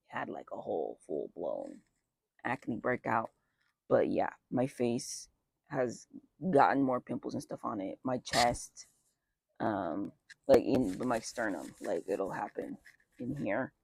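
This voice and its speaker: A young man chatting casually outdoors in a light breeze as a vlogger, with lots of fillers and wry asides. His voice is just starting to deepen early on testosterone. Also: creaky, darkish tone, no room sound. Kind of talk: casual life update chat.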